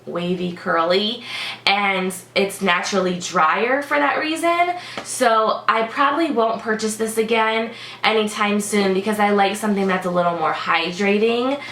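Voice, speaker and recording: A woman's voice: mid-range voice, unhurried, fairly bright tone, echoey room, slight background noise. Congested woman in chatty beauty vlog. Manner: chatty, many "like" and "um" fillers. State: congested, sick voice. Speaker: beauty vlogger. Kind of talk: casual product review.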